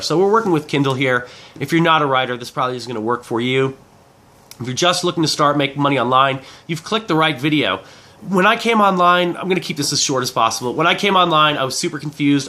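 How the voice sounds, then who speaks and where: A man in his forties with a head cold, giving a casual, rambling video pitch as an online product reviewer, informally repeating and rephrasing himself. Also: sharp tone. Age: 40s. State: head cold, clearing throat. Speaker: affiliate marketing reviewer.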